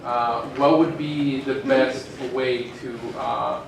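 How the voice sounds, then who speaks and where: Male audience questioner, medium-pitched, halting delivery, very reverberant and distant recording. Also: steady tone, gravelly. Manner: hesitant, halting. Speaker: audience questioner, indie game developer. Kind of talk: casual question. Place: large indoor convention panel room. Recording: very echoey, distant, noisy convention room.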